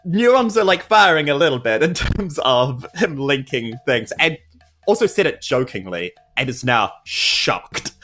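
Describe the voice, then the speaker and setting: A man with a New Zealand accent chatting casually as a player in a tabletop game, halting and jokey. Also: medium pitch, very animated, a bit bright, soft background music.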